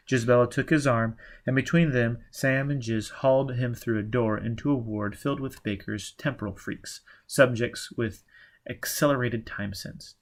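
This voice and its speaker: A man reading a novel aloud as dramatic scripted narration. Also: mid-range voice. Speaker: audiobook reader.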